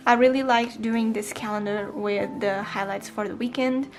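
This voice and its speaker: A woman's voice: medium pitch, fairly even intonation, moderate pace, music in the background. A twenty-year-old woman chatting casually in a voice-over, with frequent ums and small self-corrections. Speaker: planner vlogger. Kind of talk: casual voice-over flip-through.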